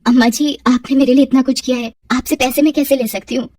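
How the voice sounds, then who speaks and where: A woman voicing a dutiful, gentle young widowed mother who speaks politely in a cartoon story. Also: sits high, steady tone, quick pace. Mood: respectful. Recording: roomy echo, distant from mic.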